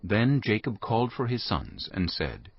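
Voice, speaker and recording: A man's voice: lowish voice, sing-song, measured pace, slightly rough, soft background music. A man giving scripted narration of a dramatized scripture reading as its narrator. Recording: muffled lo-fi audio.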